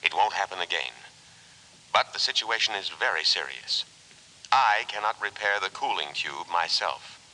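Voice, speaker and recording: Deep, formal war robot. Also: male voice, lively intonation, normal speed, pushed and bright, heavily acted, low-quality recording. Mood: officious, unruffled. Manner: formal, precise, unemotional delivery. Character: calm, officious war robot boat, menacingly solicitous. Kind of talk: 1950s radio drama acting. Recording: hissy 1950s radio recording.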